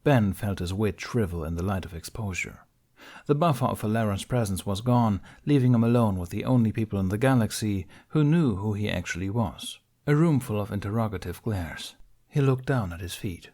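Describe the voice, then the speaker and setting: A man narrating dramatic fiction as an audiobook reader, in a measured, solemn manner. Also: lowish voice, animated, a little mellow.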